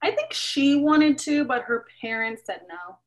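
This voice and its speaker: A woman's voice: high voice, animated, a little slow. A young woman in her twenties playing a cheerful new arrival who works as a data analyst, chatty and easygoing, on a video call. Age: 20s.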